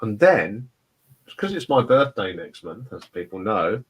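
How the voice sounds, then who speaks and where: A man's voice: on the low side, big pitch swings, unhurried, a bit bright, slightly rough, low-quality recording. A man chatting informally and telling anecdotes as a record collector. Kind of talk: casual chat with anecdotes.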